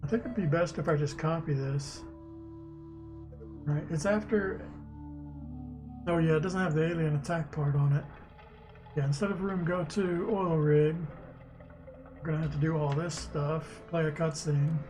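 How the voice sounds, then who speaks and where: A man streaming as a game developer, casually thinking aloud and muttering haltingly to himself as he works through code. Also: fairly high pitch, fairly even intonation. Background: music, keyboard typing.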